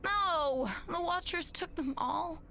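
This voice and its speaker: A woman playing a determined, clever teenage alien girl cadet in an animated game. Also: very high voice, slow pace, vocal fry, a little hiss or hum, heavily acted. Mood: alarmed.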